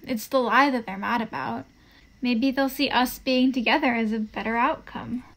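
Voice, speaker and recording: A woman voicing a gentle, proper, devout teenage girl from the 1950s in a comic dub, with a prim, composed manner. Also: a little high, steady tone, moderate pace. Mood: fond, encouraging.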